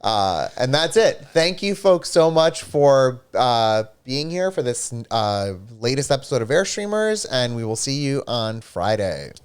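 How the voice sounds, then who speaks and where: A man co-hosting a live-streamed gaming show, chatting casually and joking with viewers, his voice a little hoarse. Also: mid-range voice, measured pace, hardly any pauses. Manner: chatty, animated, jokey. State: slightly hoarse.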